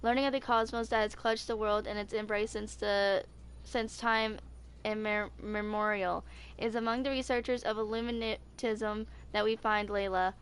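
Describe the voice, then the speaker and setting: A woman's voice: medium pitch, fairly even intonation, moderate pace, clean tone. A woman in her twenties streaming casually and reading game lore aloud, informal, stumbling over some words. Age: 20s. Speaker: gaming streamer.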